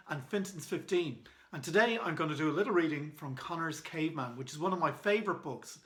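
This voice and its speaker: A man's voice: on the high side, fairly bright tone. An Irish man reading aloud in a children's storytime style, as an author and illustrator. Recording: echoey room.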